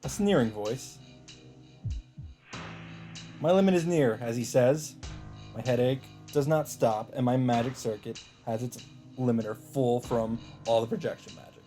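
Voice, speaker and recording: A man narrates a story aloud as a let's play host. His voice is medium in pitch and dark, mellow in tone, with faint music behind. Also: measured pace, pauses often. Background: faint game music.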